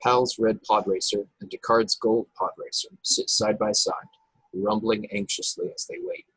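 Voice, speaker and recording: A man's voice: lowish voice, fairly bright tone, slightly rough, dry recording, poor audio. A man narrating stage directions from a script at a table read over a video call. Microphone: video call, close and dry.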